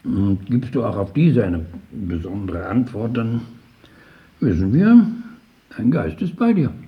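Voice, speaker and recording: An actor in a radio drama playing a skeptical questioner who tests a sufferer with halting, rhetorical questions. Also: male voice, lowish voice, very animated, dull audio. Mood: pondering. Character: probing, skeptical male questioner testing a sufferer's faith.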